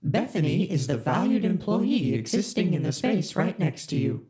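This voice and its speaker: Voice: mid-range voice, big pitch swings, moderate pace, dark tone, natural voice. An actor voicing a chipper, formal corporate spaceship AI in an audio drama, speaking like a scripted announcer. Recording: roomy echo.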